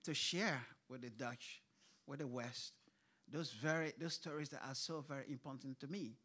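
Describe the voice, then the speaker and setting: A man's voice: medium pitch, normal speed, sharp tone. A man who grew up in Kuwait, speaking as a writer at a literature panel, hesitant with many fillers and restarts. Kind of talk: panel discussion. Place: event hall on stage.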